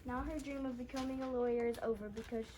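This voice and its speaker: A woman narrates a readers theater script in a fairly high voice. She reads the lines aloud over faint background noise. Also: fairly even intonation, fast talker, mellow.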